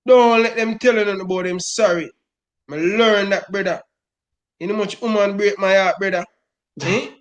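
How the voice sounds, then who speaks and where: Middle-aged Jamaican Patois man, high voice, emphatic fan rant, keeps saying "brother.". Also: fairly even intonation, normal speed, highs cut off. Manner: emphatic, repeats "brother" constantly. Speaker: football fan guest.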